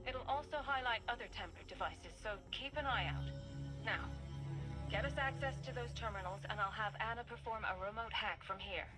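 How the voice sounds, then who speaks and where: A woman's voice: on the high side, a little fast, natural voice, rough recording. A woman playing a composed, businesslike mission handler in a video game, giving focused and precise instructions. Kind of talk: scripted video game dialogue. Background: music.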